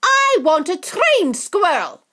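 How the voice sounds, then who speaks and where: A woman reading an audiobook, voicing a spoiled, demanding young girl who whines and shouts. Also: very high-pitched, sing-song, slow, voice pushed up, exaggerated voice. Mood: bratty demanding. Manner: whiny, shouting, bratty.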